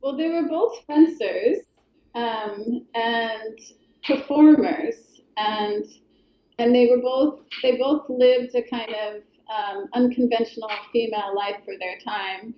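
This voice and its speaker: A middle-aged woman from Colorado, speaking as an author guest in a thoughtful, hesitant way, with many pauses and restarts. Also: fairly high pitch, echoey room, lo-fi sound. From Colorado, USA. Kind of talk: reflective interview talk.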